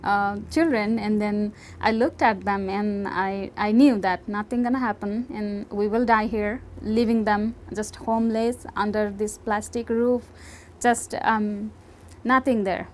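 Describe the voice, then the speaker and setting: A woman from Bhutan telling her own story in an interview, halting and searching for words. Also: medium pitch, fairly even intonation, darkish tone, slight background noise. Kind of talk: recounting personal story.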